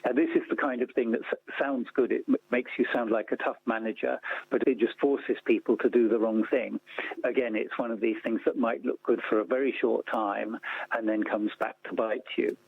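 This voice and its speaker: A man being interviewed on the radio, explaining policy in a measured way with repetition for emphasis. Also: medium pitch, fairly even intonation, vocal fry, slightly rough, no echo. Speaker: interview guest, policy campaigner. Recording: thin phone-like line.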